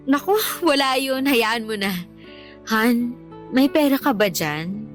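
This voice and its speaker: A woman's voice: on the high side, lively intonation, slow. An actress playing a defensive, easily irritated live-in girlfriend in a Tagalog radio drama, whining and argumentative. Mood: anxious, evasive. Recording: dramatic music bed behind the voice.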